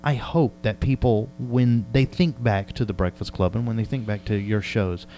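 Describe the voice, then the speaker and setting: A man's voice: on the low side, moderate pace. Jokey male radio host with faint hum. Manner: jokey, self-deprecating. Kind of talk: casual interview chat. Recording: steady electrical hum.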